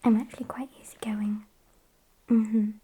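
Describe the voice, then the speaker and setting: A woman plays a sassy British vampire in an ASMR roleplay, whispering softly and very unhurriedly. Her voice is mellow and sits fairly high, with smug, mock-polite condescension as she toys with her prey. There is noticeable hiss behind her. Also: flat delivery, measured pace, close to an everyday voice. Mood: sassy, self-satisfied. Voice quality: soft, breathy whisper.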